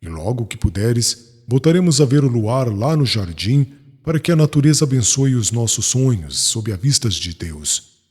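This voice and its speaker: A man's voice: medium pitch, sing-song, normal speed, darkish tone, husky. A man playing an ardent, tender young scholar in love, emotional and pleading, in a dramatized audiobook. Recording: long reverb, music underneath.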